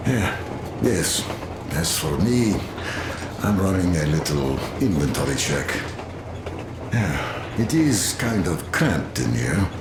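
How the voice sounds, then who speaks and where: An actor playing a loyal, meticulous soldier and gunsmith in a video game, chatty and earnest. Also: male voice, deep voice, big pitch swings, slow, heavily acted. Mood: chatty, proud. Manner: earnest, chatty, fussy about gear. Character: loyal, meticulous male soldier and weapons tinkerer. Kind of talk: dramatic video game voice acting. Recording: roomy, clear train noise behind.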